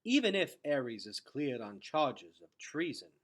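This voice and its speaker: A man's voice: medium pitch, sing-song, unhurried, a bit bright. A man voicing a large, suspicious red bat in a storybook, speaking in a formal, accusing manner. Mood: stern accusing.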